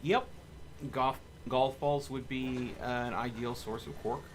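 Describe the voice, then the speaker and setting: A man with a mid-pitched voice streams a game and talks through it casually, as if to company. Clear background noise and game ambience sit behind his speech. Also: steady tone, a little slow. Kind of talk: casual live gameplay commentary.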